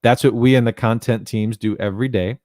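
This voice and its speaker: A man's voice: mid-range voice, steady tone, a little fast, darkish tone, dry recording. Man presenting, close dry sound. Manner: reassuring, informal. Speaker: presenter, software documentation content developer. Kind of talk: conversational technical presentation with slides. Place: indoor room at home, online conference video call. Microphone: headset.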